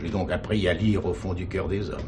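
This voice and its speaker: An actor playing a courteous, middle-aged prison chaplain in a radio drama, speaking formally and earnestly. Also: male voice, deep voice, fairly even intonation, brisk, background noise, natural voice. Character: earnest prison chaplain, a devout pleading man. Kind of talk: 1970s French radio drama acting.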